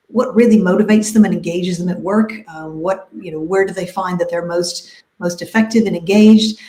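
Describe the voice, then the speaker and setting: A woman's voice: fairly low pitch, a little mellow. A woman career coach talking enthusiastically and conversationally on a live video panel. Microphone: video call. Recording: echoey room.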